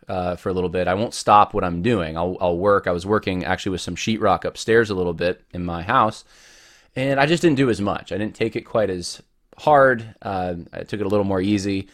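Very deep-voiced man with a cold. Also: a bit bright. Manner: rambling, many restarts, chatty. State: has a cold, voice deeper than usual. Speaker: podcast host. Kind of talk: casual solo podcast talk.

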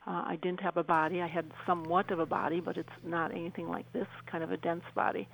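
Creaky-voiced woman, even-toned interview guest. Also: on the low side, fast, slight background noise, low-quality recording. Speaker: radio show guest. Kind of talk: conversational interview answers.

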